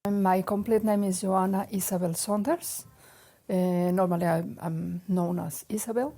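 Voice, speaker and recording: A woman's voice: medium pitch, fairly even intonation, moderate pace, warm tone. A woman from Mexico, giving brief, plain interview answers.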